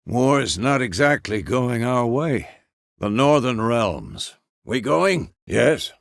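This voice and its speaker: A man playing a gruff, fatherly old monster hunter in a fantasy video game, with a gravelly voice and a terse, measured, authoritative manner. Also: mid-range voice, lively intonation, no room sound, studio quality.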